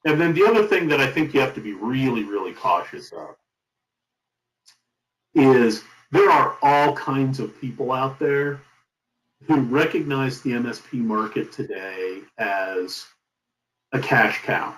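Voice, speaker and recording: A middle-aged man being interviewed as a company founder, giving advice in an emphatic, deliberate conversational way. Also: mid-range voice, lively intonation, fairly bright tone. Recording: roomy, echoing sound.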